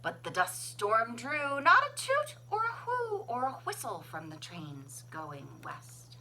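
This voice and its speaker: Lady doing a kids book read-aloud, slow and expressive, highish voice, bit of background noise. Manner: expressive, sing-song, deliberate phrasing. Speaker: librarian reading a picture book aloud. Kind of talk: children's storybook read-aloud.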